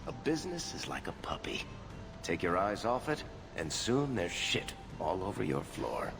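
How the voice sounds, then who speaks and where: A wry streetwise dwarf, low voice swinging all over, sardonic, game music behind. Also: male voice, huge pitch swings, normal speed, vocal fry, exaggerated voice. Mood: wry, teasing. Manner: sardonic, teasing, familiar. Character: wry, streetwise male dwarf businessman and loyal sidekick. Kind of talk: video game voice acting.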